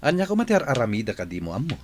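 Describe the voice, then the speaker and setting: An actor with an Ilocano accent playing a bossy, scheming rich man who is quick to anger. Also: mid-range voice, huge pitch swings. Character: scheming rich middle-aged male boss. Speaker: radio drama actor.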